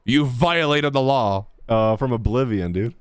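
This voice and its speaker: A woman's voice: rumbling low, unhurried, dry recording. A woman gaming streamer giving casual, playful commentary in a slangy, exclamatory way. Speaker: video game streamer.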